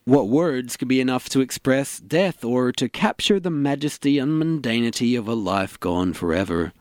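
A man acting an earnest funeral speaker in a radio comedy. He is solemn and a little awkward, with expressive pitch and a dry, close sound. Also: normal speed, no echo, close to an everyday voice. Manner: solemn, hesitant.

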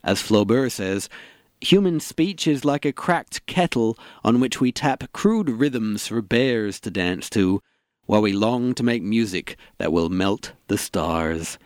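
A man's voice: on the low side, expressive, slow pace, no room sound, studio quality. Solemn, awkward eulogist man acting. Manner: solemn, hesitant. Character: earnest, awkward man giving a funeral eulogy. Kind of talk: comedy radio drama.

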